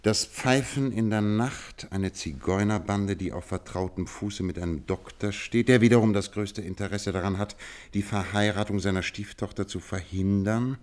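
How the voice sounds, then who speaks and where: A man acting a cool, analytical detective in a radio play, speaking in a measured, dryly ironic way. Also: lowish voice, darkish tone, close to an everyday voice.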